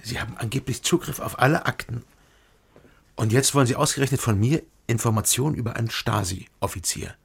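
A gravelly, weary investigator. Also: male voice, mid-range voice, brisk, dry recording. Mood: wary. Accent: German. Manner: terse, sober, world-weary. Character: weary, guarded East German ex-criminology student turned investigator. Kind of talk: radio crime drama acting and narration.

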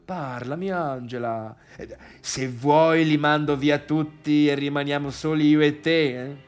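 A man's voice: on the high side, fairly even intonation, unhurried, clear, resonant tone, lightly acted. An actor playing an awkward, needy, wounded man in a theatrical monologue, with a Tuscan accent and hesitant, repetitive phrasing. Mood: tender, pleading. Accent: Tuscan Italian. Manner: hesitant, stammering repetitions and questions. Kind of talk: theatrical monologue, halting one-sided dialogue.

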